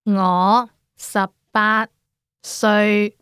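A woman with Cantonese as her first language, giving slow, carefully enunciated model phrases for a language course. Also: mid-range voice, little pitch movement, fairly bright tone, clean tone, no room sound, studio quality. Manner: clipped, carefully enunciated single words.

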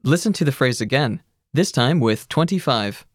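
A narrator giving measured, scripted instructions in a language course. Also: medium pitch, no room sound, clean studio sound. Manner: even, measured teacherly instructions.

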